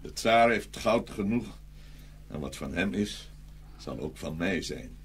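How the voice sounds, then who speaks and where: A man's voice: low-pitched, animated, takes it slowly, a little hiss or hum, exaggerated voice. A man playing a grand, good-natured, sleepy tsar in a dramatised fairy tale, with theatrical exclamations. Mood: hearty, generous.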